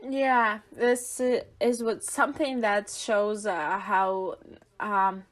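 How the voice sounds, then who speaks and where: A woman with a Russian accent, a native Russian speaker, co-hosting a podcast in casual chat, thoughtful and pausing with fillers as she searches for words. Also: mid-range voice, steady tone. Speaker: podcast co-host.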